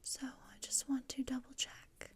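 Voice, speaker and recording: A woman playing a morbid, scheming, deadpan teenage girl in a whispered ASMR roleplay, with a measured, dryly macabre manner. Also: sits high. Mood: coolly scrutinizing.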